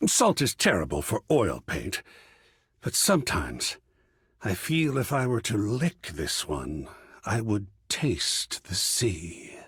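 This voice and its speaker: A man's voice: fairly low pitch, very animated, takes it slowly, fairly bright tone, slightly rough, no room sound, clean studio sound, full character voice. An actor voicing an effusive, grandiloquent art connoisseur in a video game, savoring his words with dramatic flair. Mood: effusive, intrigued. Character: effusive, theatrical art connoisseur, a living piece of artwork. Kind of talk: theatrical game character dialogue.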